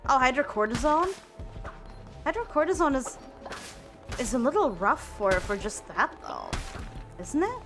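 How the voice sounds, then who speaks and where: A woman's voice: high voice, huge pitch swings, unhurried, long pauses, music in the background. A young woman streaming, chatting casually while she plays, repeating words and filling gaps with ums and likes. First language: German. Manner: rambling, repeats words, many fillers.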